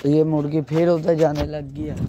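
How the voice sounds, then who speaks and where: A young man with a hoarse throat talks casually to his phone camera outdoors on a rooftop, rambling as he points things out. His voice sits a bit high and mellow, with little rise and fall. Faint background noise runs underneath. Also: little pitch movement, moderate pace, lo-fi sound. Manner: chatty, loosely rambling while showing things. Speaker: vlogger. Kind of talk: casual vlog chat. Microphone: handheld phone.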